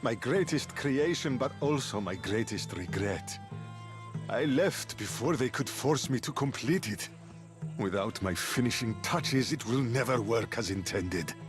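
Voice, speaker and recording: A man's voice: medium pitch, expressive, a little slow, music in the background, close to an everyday voice. An actor playing a proud, irritable male engineer of ancient Greece in a video game, dramatic and sardonic. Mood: grave, proud. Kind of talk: video game cutscene acting.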